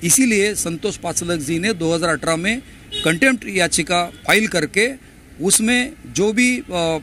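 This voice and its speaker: High, sharp-voiced man in an interview. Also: a little slow. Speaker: interviewee explaining a court case. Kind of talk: sound bite interview. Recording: faint ambient traffic noise. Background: ambience, vehicles.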